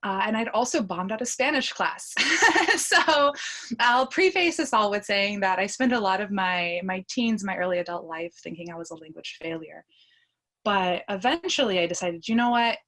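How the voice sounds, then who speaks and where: A woman with an American accent speaks as a podcast guest. Her voice sits at a medium pitch, and she tells personal stories in a casual, conversational style. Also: moderate pace, sharp tone. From United States. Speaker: podcast guest, language learner.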